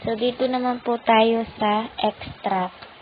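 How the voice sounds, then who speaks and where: A woman's voice: a little high, steady tone, slow, dull audio, slight background noise. A woman hosting an app tutorial, politely and patiently walking viewers through each step. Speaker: tutorial channel host.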